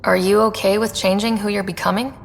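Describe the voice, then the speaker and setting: An actress playing a wry, curious young space explorer in a video game, talking in a casual, conversational way. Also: female voice, steady tone, a little fast, vocal fry, close to an everyday voice. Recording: long reverb, low rumbling noise.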